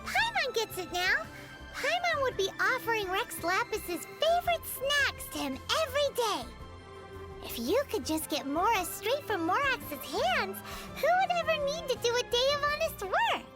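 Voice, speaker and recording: Tiny game fairy sidekick, extremely high childlike voice, very animated swoops, chirpy, music behind. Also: female voice, normal speed. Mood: excited. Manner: chirpy, refers to herself in third person. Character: tiny excitable floating fairy companion, childlike, female. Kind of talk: video game character voice lines.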